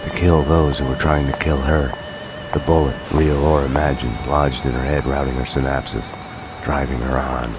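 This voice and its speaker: A very deep, brooding noir detective. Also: male voice, moderate pace. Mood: terse, brooding. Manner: terse, fatalistic, deadpan. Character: brooding, world-weary middle-aged male detective narrating his own downfall. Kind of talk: noir monologue and game dialogue. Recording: dramatic music under the voice.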